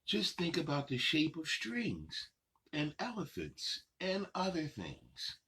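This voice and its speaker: A man hosting a children's story time, reading a picture book aloud with playful, drawn-out phrasing and chatty asides to the kids. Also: on the high side, huge pitch swings, sharp tone, husky.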